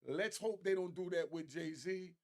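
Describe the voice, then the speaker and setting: Higher-pitched, creaky-voiced man commentating. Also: a little slow. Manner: street slang, conversational. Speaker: host of an online commentary show. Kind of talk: casual opinionated commentary.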